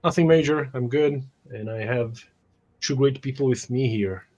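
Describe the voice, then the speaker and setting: A man hosting a tabletop role-playing stream and playing a character, slightly hoarse from a cold, chatty, with stumbling restarts. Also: medium pitch, moderate pace, a little mellow. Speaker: host and player.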